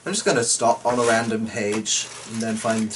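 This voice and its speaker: A man's voice: fairly low pitch, fairly even intonation, normal speed. A man giving a dramatic storybook reading, breaking off into chatty, irreverent, sweary asides. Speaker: storyteller reading a folk tale aloud. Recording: distant mic with faint background noise.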